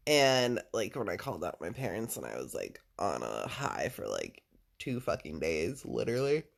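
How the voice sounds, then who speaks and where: A man with a deep voice chatting casually and at length to a listener, rambling, full of fillers and casual swearing. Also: huge pitch swings, normal speed, gravelly. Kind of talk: casual personal chat with a listener.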